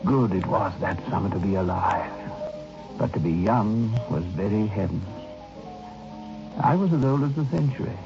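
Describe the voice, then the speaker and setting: A dull vintage radio recording with music underneath carries a reflective storyteller. He recalls his youth in measured, wistful phrases, gravelly at the edges and expressively varied. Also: lowish voice, lively intonation. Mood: solemn, nostalgic. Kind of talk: old-time radio drama narration.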